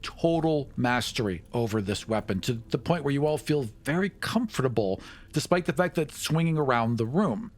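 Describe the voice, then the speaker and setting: A man's voice: mid-range voice, animated, moderate pace. A man improvising casually in a tabletop roleplaying game, informal and colloquial in his wording.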